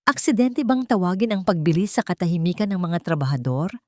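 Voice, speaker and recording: A woman's voice: lowish voice, expressive, brisk, mellow, no room sound, studio quality, lightly acted. A woman voicing a steadfast, unafraid young partner in a story, firm and accusing. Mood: firm accusation.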